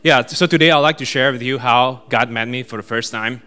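A young man with a Malaysian accent giving a casual personal testimony at a church retreat, self-deprecating and quick to laugh, with plenty of fillers. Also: medium pitch, sharp tone, faint music behind. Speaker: guest speaker.

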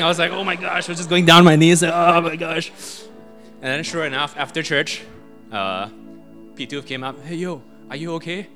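Bright-voiced Malaysian man. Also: medium pitch. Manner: casual and self-deprecating, laughs often, many fillers. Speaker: guest speaker. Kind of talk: personal testimony.

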